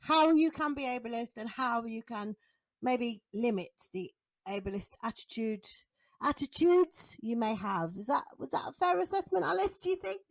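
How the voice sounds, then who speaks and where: Female podcast co-host, high-pitched and animated, self-deprecating banter, casual delivery. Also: moderate pace, creaky, dry recording.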